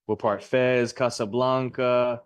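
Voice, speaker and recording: A man's voice: mid-range voice, steady tone, slow, clear, resonant tone, no room sound. A man teaching a live class, upbeat and chatty, asking his students questions. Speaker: real estate instructor.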